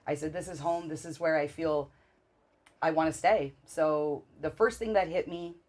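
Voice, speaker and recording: Husky low-voiced woman in her forties. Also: steady tone, normal speed. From Canada. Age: 40s. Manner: warm, enthusiastic storytelling with many fillers. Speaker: guest. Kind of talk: casual interview answers.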